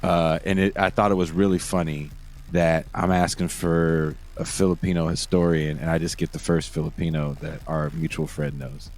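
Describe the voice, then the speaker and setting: A man's voice: extremely low pitch, flat delivery, normal speed, gravelly, darkish tone. A man hosting a podcast, casual and laid-back, laughing often and swearing freely, from Oakland. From Oakland, California. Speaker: podcast host. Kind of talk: casual interview chat.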